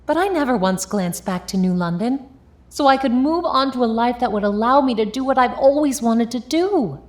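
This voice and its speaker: An actress playing an ambitious, hard-boiled young woman of the 1950s in a vintage audio drama, speaking deliberately and defiantly. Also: on the high side, expressive, natural voice. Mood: resolute, then impassioned.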